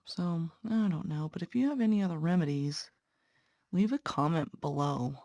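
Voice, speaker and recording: A woman's voice: deep voice, normal speed, mellow, no room sound, dull audio. A young woman, a patient care tech and nursing student, chatting earnestly to viewers while stitching, sounding congested. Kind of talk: casual chat while stitching.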